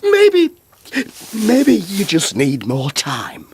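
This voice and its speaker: A man's voice: high-pitched, huge pitch swings, drawn-out words, slightly rough, exaggerated voice. An actor playing a lovesick, over-eager inventor in a comic audio drama, stammering and repeating himself as he rambles. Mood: hopeful. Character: lovesick, rambling male inventor in mythic comedy.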